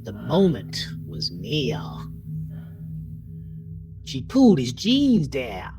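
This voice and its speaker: A woman narrating a scripted horror audio drama in a theatrical manner, sometimes dropping into hushed tones. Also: very deep voice, wildly swooping pitch, drawn-out words. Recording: music bed under the narration.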